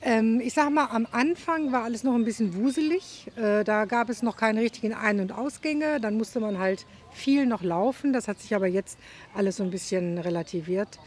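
A woman's voice: a little high, moderate pace, a little mellow. A woman answering as a visitor in a street interview, picking her words as she goes. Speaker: garden show visitor interviewed. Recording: outdoor interview with ambient noise.

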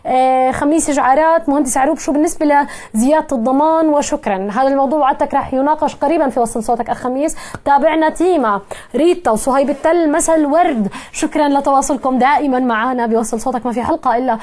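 Brisk Jordanian woman hosting a call-in show. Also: high voice, a little mellow. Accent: Jordanian Arabic. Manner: brisk, friendly, gestures while talking. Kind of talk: live call-in talk show. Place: TV studio news desk.

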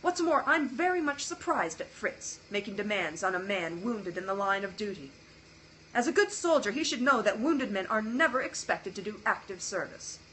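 A woman's voice: medium pitch, very animated, normal speed, bright, forceful tone, a little hiss or hum, low-quality recording. Woman doing a stern formal father. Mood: firm. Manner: firm, formal. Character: stern grown-up father, a doctor.

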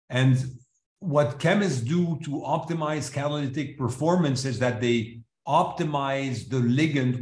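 A man from Switzerland, native in French and English, gives an academic seminar in a measured, explanatory way with some hesitant restarts. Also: medium pitch, a little mellow. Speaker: seminar speaker, chemist. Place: indoors, video call. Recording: roomy echo, live online seminar.

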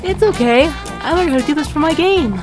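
A woman's voice: sits high, animated, a little fast, low-quality recording. A woman voicing a cheerful young child lizard creature, earnest and proud, in a cartoon comic dub. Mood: eager, earnest. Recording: music behind the voice.

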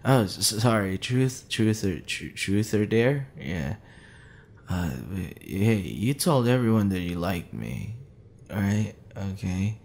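A man's voice: on the low side, animated, a little mellow, heavily acted. A man playing a drunk, flustered young man with a tender streak, stammering and rambling in an intimate ASMR roleplay. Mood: nervous, rambling. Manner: stammering, halting, tipsy rambling with breathy sighs.

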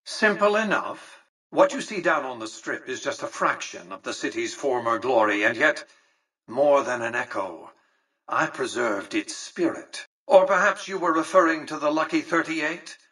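An actor playing a haughty, calculating ancient tycoon in a video game, speaking in a pompous, precise, condescending way. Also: male voice, mid-range voice, expressive, moderate pace, sharp tone, husky, distant mic, low-quality recording. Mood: lordly, measured. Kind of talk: scripted video game dialogue.

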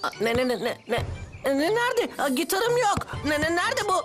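A woman voicing an eager, naive young male police officer in a cartoon, excitable and hesitant when caught out. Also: very high voice, normal speed. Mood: panicked. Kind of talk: animated cartoon dub acting. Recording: music behind the voice.

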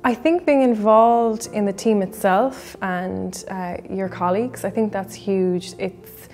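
Middle aged woman, gravelly flat voice, earnest, bit of music behind. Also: medium pitch, slow pace. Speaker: music educator. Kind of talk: interview answers.

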